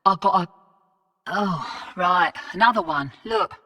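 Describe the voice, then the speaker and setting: An actress playing a brash, wry, streetwise space-colony fixer in a video game, with a laconic, cocky, slangy drawl. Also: female voice, fairly low pitch, slow pace, sharp tone, in a large hall, recorded from a distance, heavily acted. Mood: wry, knowing. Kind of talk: scripted video game character dialogue.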